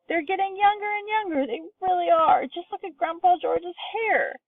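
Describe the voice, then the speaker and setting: A woman reading aloud, voicing an excitable old grandfather who yells and exclaims. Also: sits high, moderate pace, no room sound, pitched above the performer's own voice. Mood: amazed. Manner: yelling, excitable. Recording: distant tinny phone-like sound.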